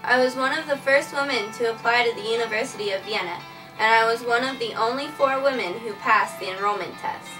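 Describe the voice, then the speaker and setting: A woman's voice: fairly high pitch, fairly quick. A woman narrating a scripted first-person history story, reading it plainly and earnestly. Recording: distant mic with faint background music.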